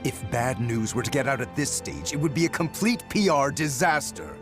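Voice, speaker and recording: An actor playing an arrogant, scolding corporate boss in a video game, stern, curt and condescending. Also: male voice, medium pitch, sing-song, normal speed, music in the background, close to an everyday voice. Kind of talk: dramatic video game cutscene acting.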